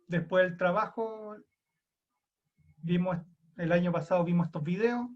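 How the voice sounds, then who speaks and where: A man's voice: high voice, unhurried, lo-fi sound. A young man with a Chilean accent giving an online university lecture, casual and self-deprecating, thinking aloud with restarts. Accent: Chilean Spanish. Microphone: computer mic on a video call.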